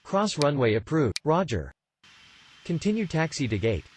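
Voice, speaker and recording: A man's voice: a little high, sing-song, moderate pace, husky. A man speaking as an air traffic controller in clipped, scripted radio phraseology.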